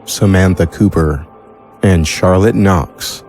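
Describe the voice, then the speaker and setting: A man reading a scripted audio drama outro in a deliberate, solemn way. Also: sits low, darkish tone, soft background music. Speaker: audio drama creator, announcer.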